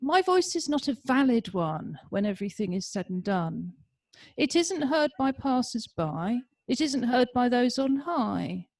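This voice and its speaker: A woman's voice: a little high, big pitch swings, unhurried, a little mellow, dry recording. A woman introducing and then reading her rhyming poem over a video call, chatty then rhythmic. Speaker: poet reading.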